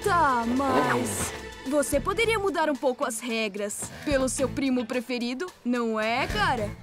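A woman's voice: high voice, animated, unhurried. A woman voicing a cheeky, competitive young motorbike in a Brazilian children's cartoon dub. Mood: cajoling. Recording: music behind the voice.

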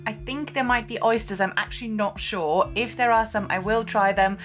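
A woman's voice: mid-range voice, moderate pace, a bit bright. A young German woman with a German accent, chatting enthusiastically to a handheld camera as a food vlogger. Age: young adult. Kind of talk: upbeat vlog narration to camera. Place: bedroom, bus, street and restaurant. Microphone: handheld camera at arm's length. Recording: music playing underneath. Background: background music.

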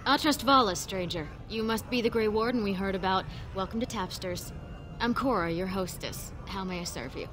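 A woman's voice: mid-range voice, normal speed, close to an everyday voice. A woman voicing a welcoming dwarf tavern hostess. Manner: welcoming, polite. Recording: game audio with faint music behind.

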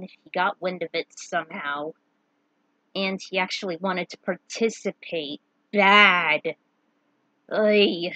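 A woman's voice: fairly low pitch, a bit bright, no echo, low-quality recording. A woman giving casual recaps and amateur narration as the host of a read-aloud fan fiction series.

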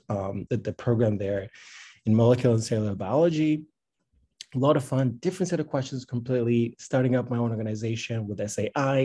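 A middle-aged man from Tanzania with a dark, mellow voice and a husky grain. He talks conversationally, as a workshop speaker, and encourages his listeners, doubling back on phrases to press them home. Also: medium pitch. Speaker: speaker, founder of a science advocacy institute. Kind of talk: informal workshop talk with slides. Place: indoor room with bookshelves, on a video call.

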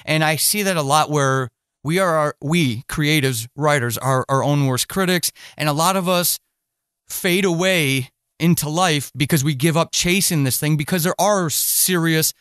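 Male interviewer, fairly high voice, earnest and conversational, close dry recording. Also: moderate pace, a bit bright, clean tone, dry recording. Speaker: podcast host and interviewer. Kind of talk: casual chat interview.

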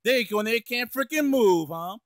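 A man's voice: extremely high pitch, expressive, fairly quick, no room sound, studio quality. A man voicing a streetwise, exasperated cartoon mouse, slangy, cursing and scolding, in an amateur comedic fandub.

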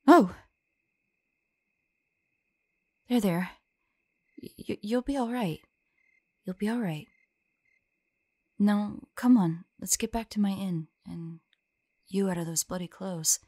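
A woman playing a weary, protective demon hunter in an audio drama, gentle yet resolute, with a measured, solemn delivery. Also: medium pitch, no room sound. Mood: tender.

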